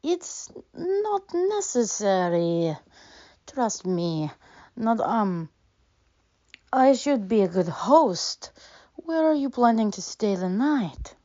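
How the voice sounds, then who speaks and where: A woman's voice: a little high, big pitch swings, takes it slowly, mellow, no echo. A woman playing a genial, insistent theater owner in an audio drama, persuasive, on a dull recording.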